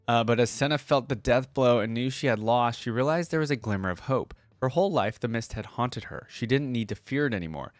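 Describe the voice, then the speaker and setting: A man's voice: on the low side, no echo. A man reading story text aloud and reacting casually in between, as a video creator commenting on it.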